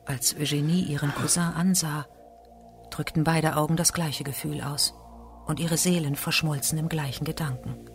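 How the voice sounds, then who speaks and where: A man's voice: a little high, fairly even intonation, moderate pace, vocal fry, faint music behind. A man narrating a literary radio drama from a script.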